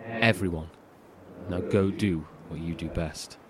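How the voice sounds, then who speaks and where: An actor playing a fond, encouraging brotherly figure in a horror audio drama, talking in a coaxing, informal, affectionate way. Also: male voice, low-pitched, a little slow, background noise. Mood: fond, advising. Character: encouraging, teasing brotherly man, fond and reassuring.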